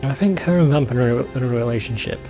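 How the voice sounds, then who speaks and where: A man's voice: mid-range voice, rapid-fire, lo-fi sound, over music. A man giving casual, sweary commentary over a video game in an informal, bemused way. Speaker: let's play video host.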